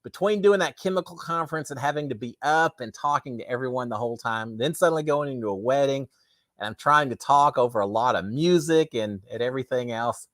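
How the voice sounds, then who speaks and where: A middle-aged man, hosting a casual live chat and answering viewer comments, his throat slightly raspy and tired, rambling with many restarts. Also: mid-range voice, brisk, a bit bright, dry recording. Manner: rambling with frequent restarts and fillers. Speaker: livestream host.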